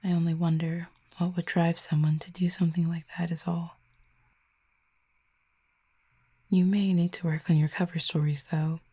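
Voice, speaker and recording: Woman doing a calm formal cupid for audio rp, lowish soft breathy voice, quietly fond and a bit teasing. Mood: dryly teasing. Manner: measured, formal, softly teasing. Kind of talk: intimate audio roleplay to the listener.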